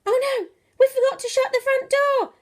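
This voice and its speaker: A woman reading a children's storybook aloud in an expressive, animated way, drawing out her words and playing up the sound effects. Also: very high voice, normal speed. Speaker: primary school teacher reading a bedtime story.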